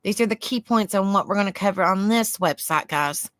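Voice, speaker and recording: Southern lady livestreaming, soft soothing voice, rambly chat, dry room sound. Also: medium pitch, a little fast, no echo. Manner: chatty and rambling, answers viewer comments. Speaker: livestream host. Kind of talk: casual livestream chat, reading aloud.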